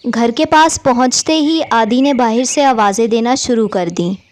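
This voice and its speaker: High-pitched woman narrating children's story. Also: unhurried.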